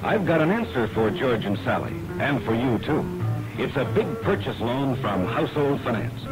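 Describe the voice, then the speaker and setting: A man's voice: medium pitch, sing-song, moderate pace. A man delivering a 1960s radio advertisement as the announcer. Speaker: commercial announcer. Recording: scratchy 1960s radio recording. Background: loud music.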